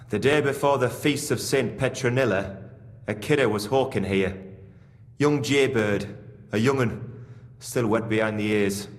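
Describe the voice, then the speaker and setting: A man's voice: medium pitch, unhurried, long pauses, natural voice. An actor playing a plain-spoken, devout mine foreman with a Geordie accent and earthy dialect in a video game. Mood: grieving. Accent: Northern English, Geordie. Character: grieving working-class miner foreman, devout and plain-spoken. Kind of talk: video game dialogue acting. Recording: long cavernous reverb.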